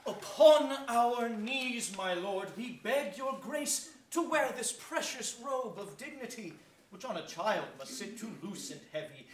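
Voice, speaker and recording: A man's voice: high voice, expressive, unhurried. A man playing a solemn, pleading petitioner in a formal, declamatory Shakespearean stage reading. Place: theatre stage, far from mic. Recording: echoey theatre, distant mic, some noise.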